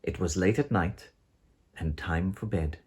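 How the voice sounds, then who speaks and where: A woman reading a rhyming children's storybook aloud as narrator, theatrical and deliberate. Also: rumbling low, darkish tone, breathy edge.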